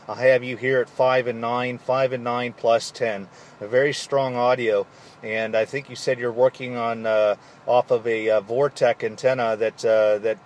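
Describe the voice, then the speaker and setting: A man on a mobile ham rig, speaking clearly into a handheld mic. His voice sits at an ordinary pitch. Faint traffic hum runs behind him. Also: a little mellow. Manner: friendly, clear call signs, technical. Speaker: mobile radio amateur. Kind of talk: ham radio contact. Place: in a vehicle. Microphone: handheld radio microphone.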